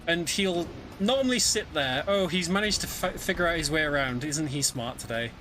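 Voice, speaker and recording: A man's voice: on the high side, expressive, normal speed. A man giving casual live speedrun commentary in a chatty, hesitant way. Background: video game music.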